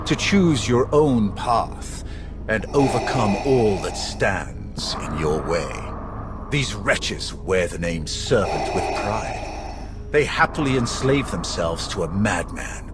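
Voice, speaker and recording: An actor playing a ruthless, contemptuous Sith warrior in a video game, speaking with grave, menacing, formal deliberation. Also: male voice, on the low side, animated, takes it slowly. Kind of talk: dramatic video game acting. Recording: loud music behind the voice.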